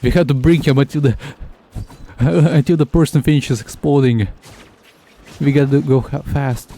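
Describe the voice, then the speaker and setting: A man who is a native Russian speaker, streaming a game with casual, halting, jokey commentary. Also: medium pitch, fairly even intonation, dark tone.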